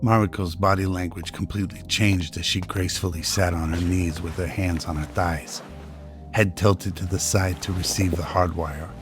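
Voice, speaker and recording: A man's voice: low-pitched, steady tone, moderate pace, faint music behind. A man narrating a radio drama in a scripted, dramatic storytelling style.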